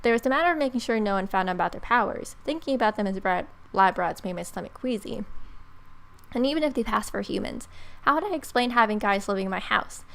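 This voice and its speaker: A young woman reads a visual novel aloud as a streamer. Her voice has a noticeable fry at a middle pitch. The delivery is expressive, with the odd small stumble. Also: quick pace. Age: young adult. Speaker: let's play streamer.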